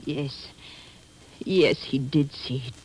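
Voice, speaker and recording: An actress playing an earnest, anxious refugee professor with formal, urgent speech in an old radio drama. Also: female voice, a bass voice, takes it slowly, darkish tone, slightly rough, full character voice, poor audio. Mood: guarded, earnest. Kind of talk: 1940s radio acting.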